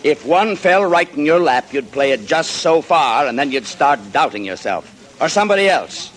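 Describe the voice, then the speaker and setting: Needling, scornful boss. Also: male voice, a little high, animated, moderate pace, a bit bright, a little hiss or hum, poor audio. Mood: lecturing. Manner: lecturing, needling. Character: blunt, scornful company boss. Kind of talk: 1940s radio acting.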